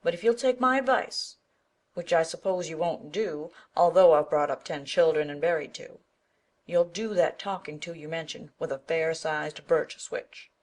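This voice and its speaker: A woman's voice: low-pitched, normal speed, darkish tone, pitched below the performer's own voice. A woman voicing a stout, outspoken older village woman who speaks emphatically and indignantly. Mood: huffy.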